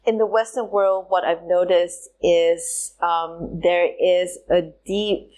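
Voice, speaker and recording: A woman from Singapore, a trauma specialist, answering interview questions in a thoughtful, halting way with many pauses and fillers. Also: lowish voice, fairly even intonation, a little mellow. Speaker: guest, somatic trauma specialist.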